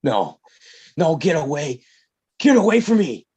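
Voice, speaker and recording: Woman acting a very raspy, desperate western gunslinger. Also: low-pitched, big pitch swings, a little slow, dark tone, heavily acted. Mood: desperate, furious. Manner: explosive, pleading outbursts. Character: desperate, anguished gunslinger man watching his brother die. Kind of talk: table-read western drama acting.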